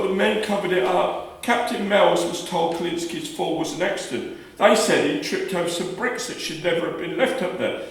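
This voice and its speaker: A man's voice: fairly high pitch, natural voice. A man acting a hot-tempered working man and fighter in a radio play, talking in a colloquial, emphatic way. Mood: agitated, guilty. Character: Jack, hot-tempered brickworks fighter confessing a killing. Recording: echoey room, far from the mic.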